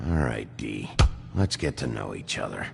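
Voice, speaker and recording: Gruff sheriff, very deep, creaky growl, blunt, intimidating, video game drama, music behind. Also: male voice, lively intonation, moderate pace, full character voice. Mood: demanding. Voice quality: deep gravelly. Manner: terse, blunt. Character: gruff, weary, intimidating sheriff interrogating a suspect.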